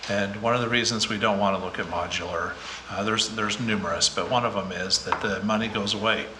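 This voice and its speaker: A man's voice: lowish voice, fairly even intonation, brisk. A man from the school district explaining a decision matter-of-factly at a public meeting. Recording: echoey room with background noise.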